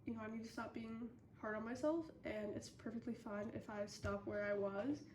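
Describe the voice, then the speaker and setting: A woman's voice: a little high, fairly even intonation, moderate pace, darkish tone, slight background noise. Congested young woman chatting in her studio. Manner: chatty, self-deprecating, apologetic. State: congested with a cold. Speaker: artist vlogger. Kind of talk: casual chat to camera. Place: home art studio. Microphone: phone as microphone.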